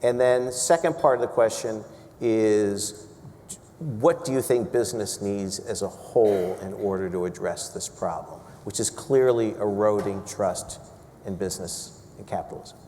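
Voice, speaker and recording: A man's voice: fairly low pitch, a little slow, mellow. A man moderating a live panel, addressing the panelists and the audience and posing questions. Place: large event hall. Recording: echoey hall with clear background noise.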